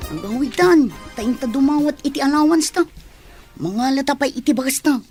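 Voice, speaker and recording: High-pitched lazy student girl acted by a woman. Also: lively intonation, normal speed, over music. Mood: grumbling. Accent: Ilocano. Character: lazy complaining young female student from farming family. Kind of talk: Ilocano radio comedy drama.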